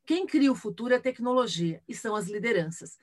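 High-voiced middle-aged Brazilian woman. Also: expressive, fast talker, a little mellow. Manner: explanatory, frequent tag questions seeking agreement. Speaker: interview guest, engineer and tech ambassador. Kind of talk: conversational interview answers, personal anecdotes.

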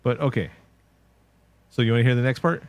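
A man's voice: mid-range voice, brisk. A man co-hosting a podcast, joking and often stammering on restarts. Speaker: podcast co-host. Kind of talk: casual podcast chat.

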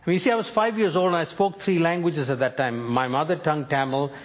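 A man in his seventies, born and raised in North India, preaching a sermon by telling his own life story in a measured, storytelling way. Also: on the high side, steady tone, lo-fi sound. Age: 70s. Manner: personal storytelling, measured with emphatic lifts.

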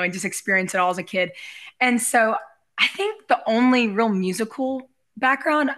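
A woman guest in a casual interview with a raspy, very bright voice. She talks effusively and loosely, rambling from one thought to the next in a giggly manner. Her pitch sits in the middle range for a woman. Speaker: guest, realtor and musician.

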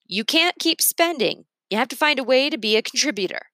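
Storybook role: female narrator playing no-nonsense older grandma, firm and practical, lively intonation. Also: high-pitched, fairly quick, no echo, studio quality, voice pushed up. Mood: firm, advising.

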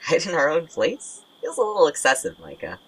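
A woman playing a tired, guarded young friend with wry, deadpan humour in an audio drama. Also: low voice, a little fast, a little hiss or hum, poor audio. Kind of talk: naturalistic audio drama acting.

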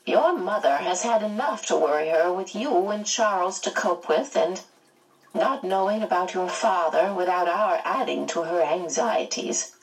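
A woman's voice: on the low side, measured pace, recorded from a distance, poor audio. A woman voicing a cheerful, chatty, motherly old woman from another world in a storybook, fussing and reassuring. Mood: cheerful, soothing. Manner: fussy, reassuring, rambling.

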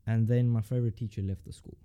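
A young man with a South African accent, a university student chatting casually with emphatic, animated energy and casual swearing. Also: medium pitch, fast, clean tone, dull audio. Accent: South African English. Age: young adult. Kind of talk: casual chat.

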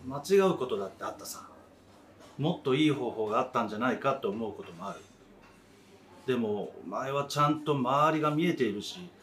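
A man's voice: medium pitch, a bit bright, lightly acted. A man in his thirties playing a supportive, frank old friend in a radio drama, talking in a casual, encouraging way. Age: 30s. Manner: casual, plain-spoken, encouraging.

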